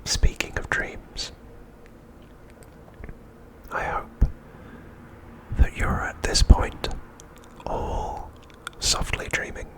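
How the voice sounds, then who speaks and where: Guy whispering asmr, breathy and soothing, crisp bright whisper, really unhurried. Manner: gentle, soothing, reassuring. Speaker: ASMR channel host.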